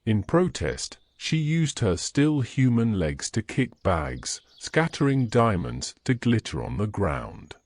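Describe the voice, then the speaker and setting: Slow, low-voiced male storyteller. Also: big pitch swings, darkish tone, a little hiss or hum. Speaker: narrator. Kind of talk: scripted storytelling narration.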